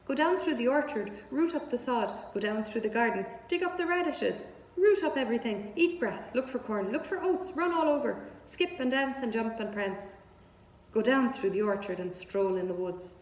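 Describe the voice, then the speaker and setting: An excitable, bossy female farmyard goose, as a woman reader puts her on, chattering in a high voice. She repeats her words over and over, as in a children's storybook. The sound is echoey, like a hall. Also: moderate pace, close to an everyday voice. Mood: excited, encouraging.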